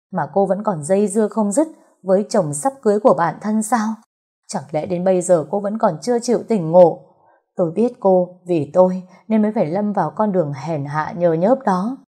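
A woman's voice: fairly low pitch, darkish tone, husky. A woman voicing a furious, wounded middle-aged mother who scolds and accuses in an audio story.

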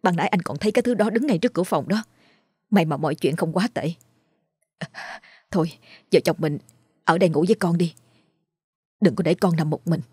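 A woman voicing an exhausted young father who talks in a hurried, urgent way to reassure his wife. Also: fairly low pitch, mellow, breathy edge, no room sound, clean studio sound.

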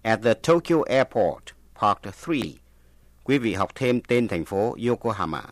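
Male Vietnamese lesson presenter, lower-pitched, scripted instructional delivery, dry studio sound. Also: big pitch swings, measured pace, no room sound. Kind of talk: scripted language lesson narration.

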